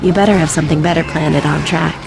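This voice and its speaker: Cocky, low-voiced gangster. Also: female voice, fairly even intonation, quick pace, creaky, noisy background. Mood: mocking menace. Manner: dry, taunting. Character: cocky, menacing woman gangster. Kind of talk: video game cutscene acting.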